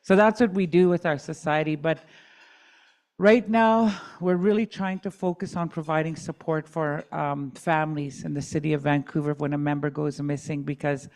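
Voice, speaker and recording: A woman's voice: sits low, steady tone, moderate pace, darkish tone. A middle-aged woman advocate giving candid personal testimony at a community dialogue, with joking asides.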